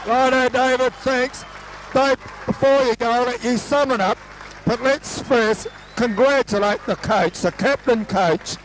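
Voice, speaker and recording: A hoarse man, excitedly calling a local rugby league game as play-by-play commentator. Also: extremely high pitch, measured pace, low-quality recording. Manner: excitable, voice soaring on breaks. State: hoarse, losing his voice. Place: outdoor grandstand at a suburban oval. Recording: old amateur sports recording with crowd noise. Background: crowd noise.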